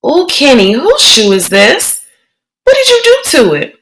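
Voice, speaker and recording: Whiny tattling little girl, pitched high, played by a woman. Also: wildly swooping pitch, unhurried, a bit bright, full character voice, low-quality recording. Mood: bossy. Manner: whiny, threatening to tell.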